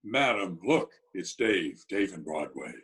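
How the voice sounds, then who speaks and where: Tough New gangster for radio drama, played by a man: very low, unhurried, commanding. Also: sing-song, a bit bright, heavily acted. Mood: urgent, insistent. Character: Dave the Dude, tough but helpful New York gangster. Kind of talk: old-time radio drama acting. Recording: slightly rough remote video-call audio.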